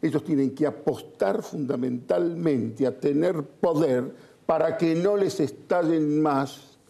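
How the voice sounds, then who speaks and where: Man, Rioplatense accent, raspy, dark-toned, emphatic, opinionated political commentary. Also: fairly high pitch, slow. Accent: Argentine Rioplatense Spanish. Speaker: political commentator, interview guest.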